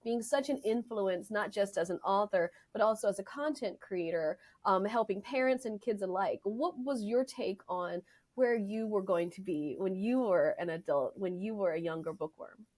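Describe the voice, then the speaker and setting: A woman hosting an interview, asking questions in an energetic, emphatic way. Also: mid-range voice, normal speed. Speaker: podcast host.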